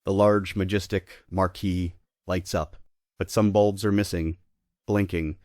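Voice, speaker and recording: A man's voice: deep voice, fairly even intonation, unhurried, a little mellow, no room sound, clean studio sound. A man reading out scripted stage directions as the narrator of a play table read.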